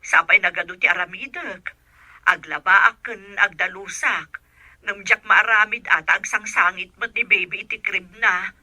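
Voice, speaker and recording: A woman's voice: mid-range voice, normal speed, pushed and bright. A woman playing a weary, complaining household maid and nanny in a Filipino radio drama, plaintive and talkative.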